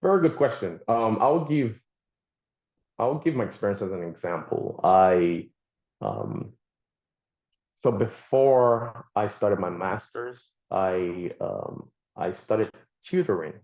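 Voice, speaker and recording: Deep-voiced Nigerian man, halting. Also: animated, creaky. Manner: halting, many fillers and repeated words. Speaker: guest speaker. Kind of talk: conversational interview answers. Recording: dull lo-fi sound.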